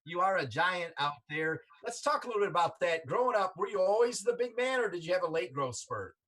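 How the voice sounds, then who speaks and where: A man's voice: high voice, expressive, brisk, fairly bright tone. Upbeat male sports interview host.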